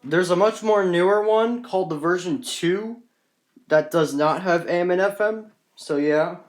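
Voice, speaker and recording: A man's voice: sits high, measured pace. A man casually presenting a collection in an off-the-cuff, rambling, matter-of-fact way, recorded on a handheld phone. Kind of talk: casual off-the-cuff show-and-tell.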